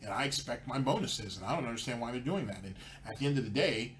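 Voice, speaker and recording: A man's voice: mid-range voice, lively intonation, fast, a little hiss or hum. A man hosting a commentary show, ranting sarcastically over clips. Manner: sarcastic, mocking. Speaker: commentary channel host.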